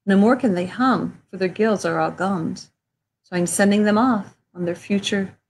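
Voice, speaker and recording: A woman voicing a fussy old male forest creature with a croaky, wheezy voice, scolding indignantly as she reads a picture book aloud. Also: mid-range voice, moderate pace, slight background noise, natural voice. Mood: mournful.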